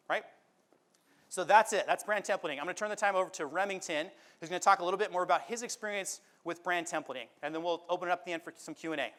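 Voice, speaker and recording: A man's voice: fairly high pitch, fairly bright tone. A man giving a conference presentation in a persuasive way, often checking with the audience. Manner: persuasive, often ending with "right?". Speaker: conference speaker.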